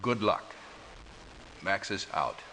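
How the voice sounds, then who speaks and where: A man's voice: fairly low pitch, very animated, slow, exaggerated voice. A man playing a grave resistance commander in a video game, giving formal, clipped military briefings. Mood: serious, resolute. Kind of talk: video game cutscene acting. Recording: old game audio, faint noise.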